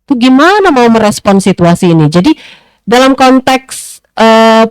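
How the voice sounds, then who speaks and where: A woman's voice: on the high side, lively intonation, clean tone, no echo. Woman panelist, thoughtful and dry. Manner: thoughtful, pauses often with fillers. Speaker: discussion panelist. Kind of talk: panel discussion, reflective speaking.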